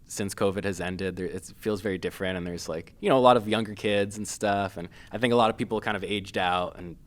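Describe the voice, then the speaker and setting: A man from Massachusetts, a filmmaker guest, talking casually with many pauses, fillers and restarts. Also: sits low, fairly even intonation. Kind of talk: casual interview.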